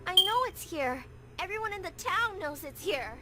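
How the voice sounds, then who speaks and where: A woman's voice: very high voice, animated, normal speed. A woman playing a determined, anxious teenage schoolgirl in a visual novel, with music behind her. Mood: defiant.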